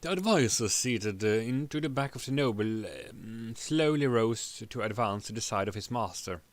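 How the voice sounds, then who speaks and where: A man giving a dramatic reading of a story, halting and stumbling over words as he narrates. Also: medium pitch, lively intonation, dry recording. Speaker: narrator, streamer.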